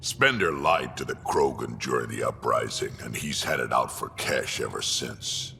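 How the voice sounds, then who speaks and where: Ancient gravelly alien warrior, extremely deep. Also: male voice, lively intonation, normal speed, pushed and bright, long reverb tail, a little hiss or hum, full character voice. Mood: gruff, distrustful. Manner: blunt, coarse. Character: ancient gruff alien warrior mercenary, proud grandfather. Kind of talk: video game character dialogue.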